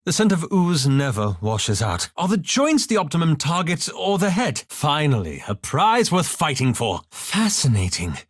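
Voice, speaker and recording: A man's voice: fairly high pitch, wildly swooping pitch, moderate pace, few pauses, no echo, studio quality. An actor voicing a cautious, scholarly young male elf wizard in a video game, formal and dryly sardonic, with precise articulation. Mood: dry, fastidious. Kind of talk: scripted video game voice lines.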